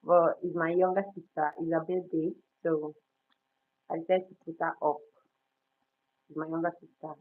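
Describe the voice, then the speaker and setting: Nigerian woman in her 30s, Igbo native, casual livestream host, halting, soft-spoken, phone-quality sound. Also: low voice, level tone. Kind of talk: casual livestream chat with viewers. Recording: tinny phone-like audio.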